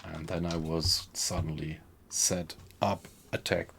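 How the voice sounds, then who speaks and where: Slow, gravelly German-accented man. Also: low voice, little pitch movement, a bit bright, a little hiss or hum. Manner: easygoing, thinks aloud, reacts to the game while talking to chat. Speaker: game streamer. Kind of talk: live gameplay commentary, chatting with viewers.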